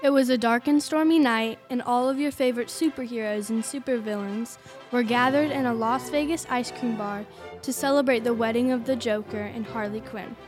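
A woman's voice: fairly high pitch, normal speed, music in the background. A woman reading scripted story narration as the narrator of an audio play.

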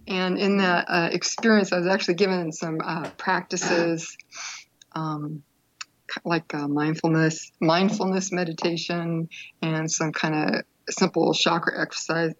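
An older woman who is a podcast guest, telling her own story in a reflective, unhurried way. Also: fairly low pitch, dull audio. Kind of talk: interview, personal storytelling.

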